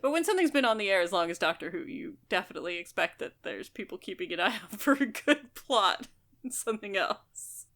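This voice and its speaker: A woman's voice: medium pitch, lively intonation, a little fast, a bit bright. A woman co-hosting a podcast in casual chat, rambling on enthusiastically and piling detail onto detail. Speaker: podcast co-host.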